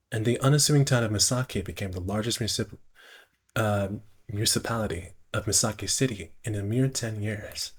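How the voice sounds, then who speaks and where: A man live streaming, reading visual novel narration aloud in a halting way, often stumbling and repeating phrases. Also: lowish voice, breathy edge.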